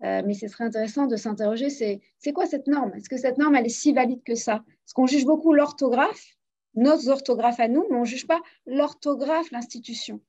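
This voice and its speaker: A woman's voice: sits high, a little fast, a little mellow. A woman who teaches French, speaking as a guest on a radio panel in an earnest, explanatory way with many fillers. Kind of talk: casual radio discussion. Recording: dull lo-fi radio audio.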